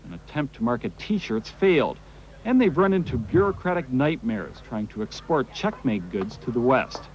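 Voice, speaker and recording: A man narrating a television news report in a measured broadcast style. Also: mid-range voice, big pitch swings. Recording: slightly dull broadcast audio with faint noise.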